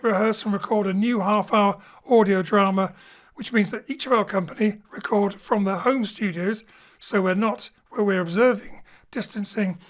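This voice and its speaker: A man from Wales, director and co-writer, introducing the company in a friendly, jokey way and mixing in Welsh phrases, from a home studio. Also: high-pitched. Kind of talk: scripted introduction to a show.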